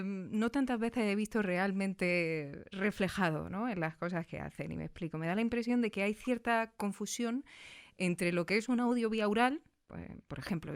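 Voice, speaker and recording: Female interviewer, Spain accent, mid-pitched voice, chatty and enthusiastic delivery, frequent tag questions. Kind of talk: conversational interview.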